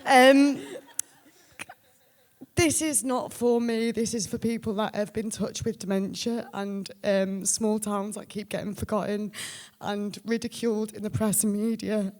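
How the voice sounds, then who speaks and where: A woman with a husky voice, giving a candid, joking, off-the-cuff acceptance speech. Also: medium pitch, fairly even intonation, unhurried, darkish tone. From Rhyl, North Wales. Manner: candid, joking, breathless rambling. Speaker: award winner, writer and performer. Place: stage at an awards ceremony in a hall. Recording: echoey hall with long reverb.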